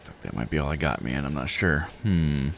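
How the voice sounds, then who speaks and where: Low-voiced man musing through a game. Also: lively intonation, normal speed, creaky, a little hiss or hum. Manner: musing, self-questioning. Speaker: live game streamer. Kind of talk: casual live gameplay commentary, thinking aloud.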